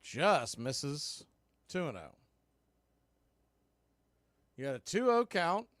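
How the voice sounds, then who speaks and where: Male play-by-play announcer, fairly high voice, relaxed with wry asides, close dry mic. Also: slow, no room sound. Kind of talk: baseball play-by-play commentary.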